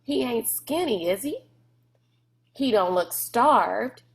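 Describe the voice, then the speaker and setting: A woman's voice: on the high side, expressive, takes it slowly, vocal fry, voice pushed up, exaggerated voice. A woman voicing a timid, cautious country boy in a storybook, with hesitant, folksy dialect. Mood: wary.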